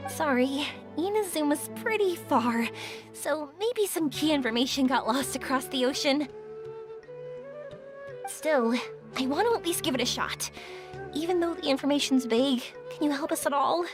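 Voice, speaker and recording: Upbeat game heroine, a woman plays her, very high voice, polite and eager, music behind. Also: normal speed, close to an everyday voice. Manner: bright, eager. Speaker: game character. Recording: game audio with background music.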